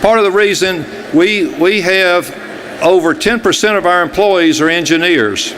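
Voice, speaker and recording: Plainspoken utility executive. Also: male voice, high-pitched, moderate pace, a bit bright. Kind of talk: formal speech at an event.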